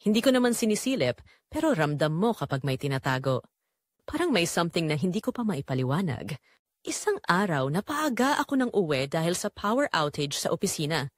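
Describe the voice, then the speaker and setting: A woman's voice: on the low side, sing-song, brisk, no echo, studio quality. A woman playing a betrayed married daughter, narrating her painful family secret in an emotive, confessional first-person style for an audio drama. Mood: uneasy, suspicious.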